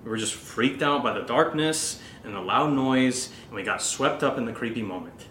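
A man's voice: mid-range voice, animated, normal speed, fairly bright tone, slight background noise, lightly acted, low-quality recording. A man acting an anxious young American who plays the rational friend, in a naturalistic horror audio drama. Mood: reassuring, defensive. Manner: casual, hesitant, sweary.

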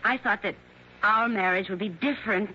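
Actress as anxious wife on muffled old radio. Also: female voice, medium pitch, moderate pace, sharp tone, natural voice. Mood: anxious, confiding. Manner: hesitant, pleading, breathless dramatic emphasis. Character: frightened, anxious wife who suspects her husband. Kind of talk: 1960s radio drama acting. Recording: vintage radio recording, muffled, light noise.

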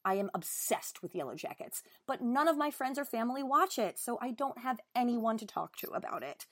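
A woman's voice: mid-range voice, dry recording, studio quality. A woman guest reader giving a dramatic reading of a listener's email.

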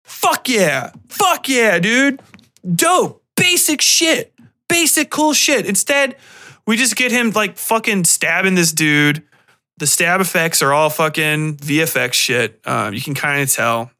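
Bright-voiced man ranting casually, profane and slangy. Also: high-pitched, slow. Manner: animated, opinionated. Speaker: podcast host. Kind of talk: casual film rant commentary.

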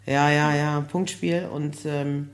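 A hoarse woman talking casually as a podcast guest, chatty and laughing often, with many fillers. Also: low-pitched, steady tone, slow pace. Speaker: guest, building project manager.

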